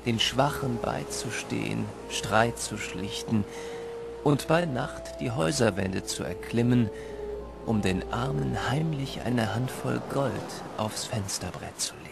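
A man's voice: medium pitch, gravelly. A man narrating a fanciful Christmas tale in the first person, as scripted audio drama storytelling. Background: loud music.

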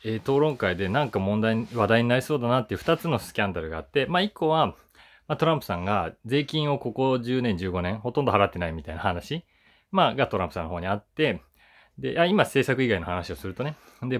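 Animated, chatty man with swooping pitch. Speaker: voice actor hosting his own talk channel. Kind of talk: casual chatty commentary to camera.

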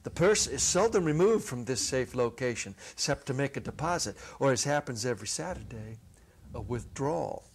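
A middle-aged man narrating a story dramatically from a script, with deliberate phrasing and pauses. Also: mid-range voice, animated, slight background noise. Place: small indoor stage set. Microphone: clip-on lapel mic.

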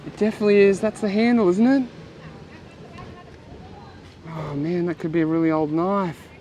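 A man in his thirties with an Australian accent, chatting casually and excitably as he hosts an outdoor vlog. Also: high voice, normal speed. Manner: excitable, exclaiming. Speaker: host of a magnet fishing vlog. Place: outdoors beside a canal. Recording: outdoor noise, traffic and ambience.

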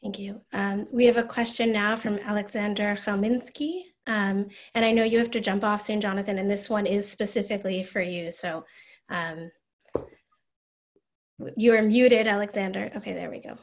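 A woman's voice: medium pitch, steady tone, normal speed. A woman moderating a Q&A on a video call, hesitant with many ums.

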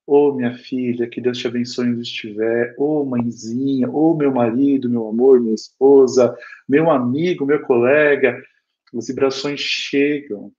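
A man's voice: medium pitch, steady tone, unhurried, a little mellow. A man in his thirties with a Brazilian accent giving an informal, explanatory spiritual lecture in a conversational way over a video call. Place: small home room with bookshelves. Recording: dull lo-fi video call audio.

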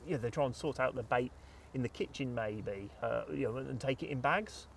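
A middle-aged British man presenting fishing gear outdoors by a lake, explaining uses and value in a steady, practical way. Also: medium pitch, fairly quick, creaky, slight background noise. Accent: British English. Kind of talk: casual review chat.

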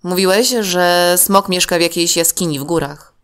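A woman's voice: lowish voice, steady tone, normal speed, clear, resonant tone, natural voice. A woman voicing a serious, level-headed, worried young boy in a children's story, earnest and urgent.

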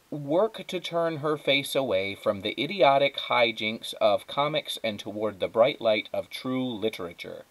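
A man's voice: on the low side, dry recording, rough recording. A man narrating a children's storybook aloud, as a teacher does. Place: indoors at a wooden table.

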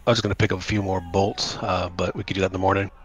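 Low-voiced man with a cold, slightly raspy. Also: level tone, racing. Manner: brief, easygoing replies. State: has a cold, a bit raspy. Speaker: player. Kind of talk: casual tabletop game session over voice chat.

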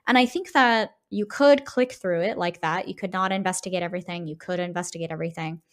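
A woman's voice: on the low side, fast. A woman co-hosting a podcast, explaining her points casually and emphatically, often checking in with short tag questions. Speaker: podcast co-host. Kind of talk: casual conversational game discussion.

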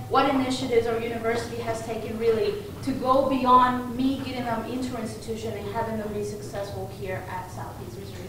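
A young woman whose first language is Spanish talks casually through a presentation, pausing on fillers as she goes. Her slightly high voice reaches a distant mic in a very echoey conference room. A steady background hiss sits under her words. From Mexico. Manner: informal, with frequent "uh" and restarts. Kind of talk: conference talk. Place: conference room with projector screen. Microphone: room mic heard from a distance.

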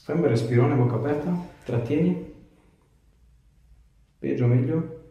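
Mellow man in a very echoey room. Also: medium pitch, normal speed, gravelly, muffled recording. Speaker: chiropractor. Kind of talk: calm hands-on treatment instructions with casual chat. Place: small tiled treatment room, indoors. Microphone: small wireless clip-on mic on chest. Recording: echoey room, distant, some noise.

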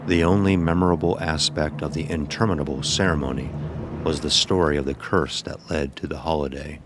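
A man's voice: very deep voice, fairly even intonation, fairly quick, noisy background. A man reading first-person scripted narration for an audio story.

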